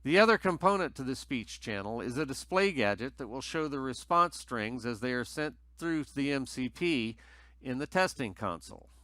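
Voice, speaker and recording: A man's voice: mid-range voice, lively intonation, moderate pace, fairly bright tone, no room sound. A man narrating a scripted technical tutorial in a methodical, explanatory way as its presenter.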